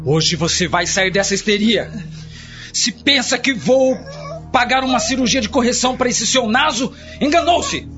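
An actor playing a stern, quick-tempered father, commanding and emphatic, in a radio drama. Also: very high-pitched, lively intonation, bright, forceful tone, heavily acted. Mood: angry, commanding. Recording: dull lo-fi radio drama, faint music.

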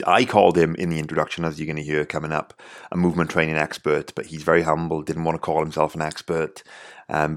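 A man with a Welsh accent hosting a podcast, talking casually with lots of "you know" and restarts. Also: very deep voice. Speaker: podcast host. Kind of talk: casual podcast intro monologue.

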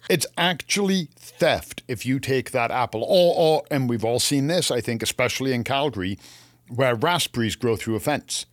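A man with a Canadian accent chatting casually as co-host of a gardening show, telling anecdotes in an animated way. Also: medium pitch, moderate pace, no echo, clean studio sound.

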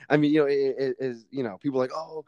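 A man's voice: mid-range voice, fairly even intonation, fairly quick, dark tone, no echo, lo-fi sound. A young man in casual podcast conversation, talking emphatically with plenty of fillers and casual swearing. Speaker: podcast guest. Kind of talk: casual chat.

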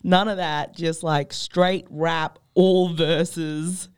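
A woman's voice: deep voice, fairly even intonation, extremely slow, darkish tone, smooth. Laid-back Australian woman. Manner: laid-back, rambling, laughs. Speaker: podcast guest. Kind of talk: casual chat.

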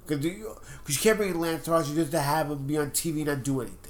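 A man with a stuffed-up nose, co-hosting a casual wrestling podcast and arguing his opinions at length. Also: fairly high pitch. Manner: opinionated, talks at length in quick bursts. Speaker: podcast co-host.

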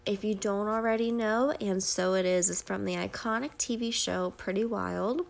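Twenty-something woman with a stuffed-up nose, bit of vocal fry, mid pitch, chatty podcast rambling. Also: steady tone, normal speed. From Ohio, USA. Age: 20s. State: stuffy, nasal from a cold. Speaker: podcast host. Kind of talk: casual solo podcast chat. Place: at home beside her dog.

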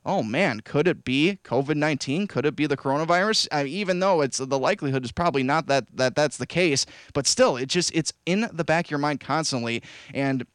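A man's voice: fairly high pitch, brisk, hardly any pauses, clear, resonant tone, no echo, studio quality. A young man hosting a local radio call-in show, talking casually with lots of fillers and reading ads. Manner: chatty and rambling, with many fillers. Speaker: radio host. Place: radio studio. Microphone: studio microphone.

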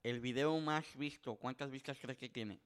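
Guy hosting a podcast, lots of "este", flips to english mid sentence, medium pitch. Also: normal speed, clean tone. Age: 20s. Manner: rambling storyteller, many filler words, switches between Spanish and English. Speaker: podcast host. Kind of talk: casual bilingual chat.

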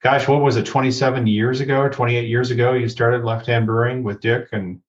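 A man's voice: mid-range voice, flat delivery, fairly quick. A man hosting a casual podcast, easygoing and jokey. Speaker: podcast host. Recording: dull lo-fi sound.

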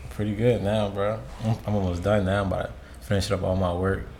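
A young man in college co-hosts a laid-back podcast. His voice is very deep, and his talk is easygoing and full of slang. He chuckles often, with faint noise behind him. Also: flat delivery, normal speed, a little mellow. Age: young adult. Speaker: podcast co-host, college student. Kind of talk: casual podcast chat.

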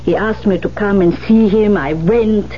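A woman's voice: medium pitch, close to an everyday voice. Actress doing bossy old lady music mentor, insistent and coaxing, old radio drama, dull sound with a bit of hum. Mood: hesitant, careful. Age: older. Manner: insistent, emphatic, halting when delicate. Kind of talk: 1970s radio drama acting. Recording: dull vintage radio recording, faint hum.

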